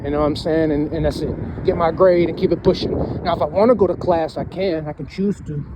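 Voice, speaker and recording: A man's voice: fairly high pitch, steady tone, fast talker, noisy background. A man of thirty, giving a casual, rambling motivational talk and often tagging lines with "man" and "you know what I'm saying". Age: 30s. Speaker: vlogger.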